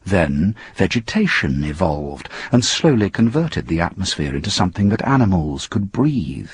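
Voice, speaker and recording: A man's voice: low voice, sing-song, moderate pace, breathy edge, heavily acted. A man voicing a confident, impatient male scientist exploring space, with an assured, explanatory delivery in a story reading.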